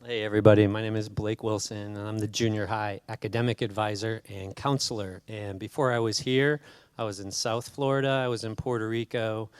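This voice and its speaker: A man from the United States, a school counselor introducing himself to parents. He speaks in a relaxed, conversational way and asks the audience questions. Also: lowish voice, brisk, clean tone, no room sound.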